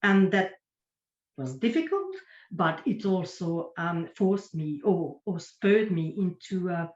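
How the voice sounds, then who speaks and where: A woman whose first language is French, a guest writer answering interview questions in a halting way, often searching for words. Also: on the low side, expressive, a little mellow, reverberant, lo-fi sound. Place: home video call. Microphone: computer microphone on video call.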